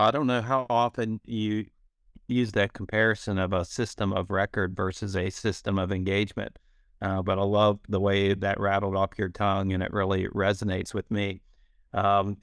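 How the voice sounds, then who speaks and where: A man's voice: on the low side, no echo, studio quality. A middle-aged man hosting a podcast interview, upbeat and complimentary as he brings in his guest. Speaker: podcast host, company CEO.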